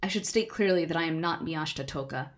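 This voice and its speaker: A woman voicing a cryptic cloaked androgynous entity who speaks slowly, quietly and gravely. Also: low-pitched, lightly acted.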